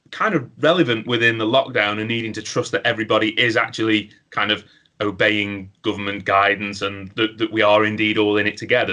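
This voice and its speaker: A man's voice: lowish voice, pushed and bright. Man, casual host, lots of ums, video call chat. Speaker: podcast host.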